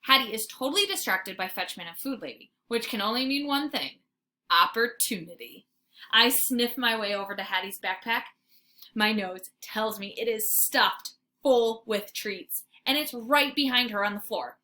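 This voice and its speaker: A woman's voice: on the high side, normal speed, sharp tone. A woman reading aloud as a teacher would, narrating a children's story expressively with dramatic emphasis.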